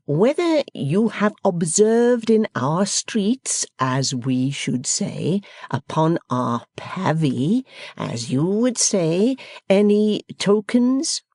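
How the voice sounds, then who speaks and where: A narrator voicing a pompous English gentleman who speaks slowly and condescendingly, as if to a deaf child. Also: mid-range voice, very animated, no echo, studio quality, voice pushed up, heavily acted. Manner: slow, loud, over-explaining as if to a child. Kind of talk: audiobook character voice.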